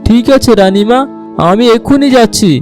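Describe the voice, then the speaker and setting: Male villain's henchman, acted by a man, very high pitch, servile, menacing, cartoon fairy tale, music underneath. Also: normal speed, a little mellow. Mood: obedient. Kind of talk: animated fairy tale voice acting.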